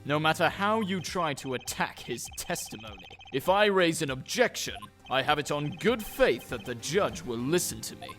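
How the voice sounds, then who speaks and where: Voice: medium pitch, big pitch swings, normal speed, fairly bright tone, faint music behind. A performer playing a cold, ruthless male prosecutor, formal and haughty, in a video game fandub. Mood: cold, resolute.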